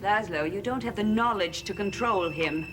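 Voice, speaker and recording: A woman's voice: medium pitch, moderate pace, natural voice. An actress playing a cold, commanding witch who leads a coven, in a 1960s soap opera, with a cool, controlling manner. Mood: warning. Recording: old TV soundtrack with music. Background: music.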